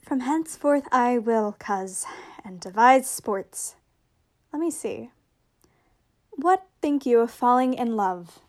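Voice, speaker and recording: A witty young noblewoman, played by a woman in a Shakespearean radio drama. Her voice is on the high side, and her delivery is measured, with a wry edge. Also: animated, darkish tone. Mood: wry, playful. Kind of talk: Shakespearean radio play acting.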